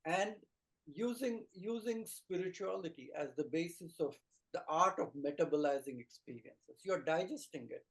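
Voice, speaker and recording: A man's voice: on the high side, lively intonation, moderate pace, rough recording. A middle-aged man with an Indian accent, an Ayurvedic teacher explaining earnestly as an interview guest, building his points in short phrases and lists. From rural India.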